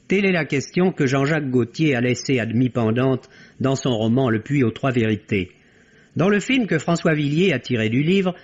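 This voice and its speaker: Male critic, mid-pitched, articulate and literary, reading a review on a dull vintage radio recording. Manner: formal, literary, articulate, rhetorical. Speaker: film critic on a radio program. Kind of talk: scripted film review, 1960s French radio.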